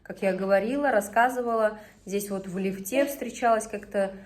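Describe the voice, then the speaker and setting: A woman's voice: mid-range voice, moderate pace, a little mellow. A woman in her thirties from Tatarstan whose first language is Tatar, chatting casually in a home vlog while cooking and guiding her children. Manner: chatty and homely, often breaks off to instruct her children. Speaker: vlogger and mother. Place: home kitchen.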